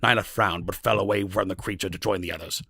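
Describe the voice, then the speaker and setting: A husky, forceful man narrates a scripted fantasy tale. His voice is low, with a storyteller's formal delivery. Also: fairly even intonation, fast.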